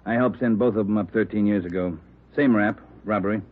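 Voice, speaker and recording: An actor playing a matter-of-fact police colleague in a 1940s radio drama. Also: male voice, on the low side, fairly quick, lightly acted. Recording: old narrowband radio recording.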